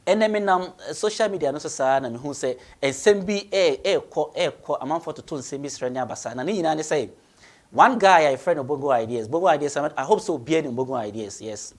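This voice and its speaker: A man with a Ghanaian accent hosting radio commentary, giving opinions emphatically, repeating phrases for stress and switching between two languages. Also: fairly high pitch. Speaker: radio show host. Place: radio studio.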